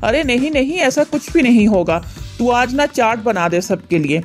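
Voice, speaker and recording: An indulgent older mother-in-law who craves spicy snacks, voiced by a woman a little high in pitch. She coaxes and agrees easily, over faint background music. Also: expressive, normal speed, close to an everyday voice. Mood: coaxing. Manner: coaxing, agreeable. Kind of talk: cartoon voice acting.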